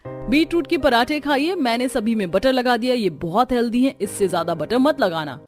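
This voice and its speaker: A woman acts out a young, educated daughter-in-law in a cartoon family story. She is earnest and preachy, lecturing in a high-pitched voice over music. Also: lively intonation, normal speed, lightly acted. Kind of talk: cartoon story character acting. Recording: music under the voice.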